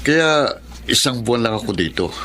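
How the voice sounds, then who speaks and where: A man's voice: mid-range voice, expressive, normal speed, a bit bright, close to an everyday voice. A man playing a practical, caring grown son and brother in a Filipino radio drama. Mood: affectionate. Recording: faint background noise.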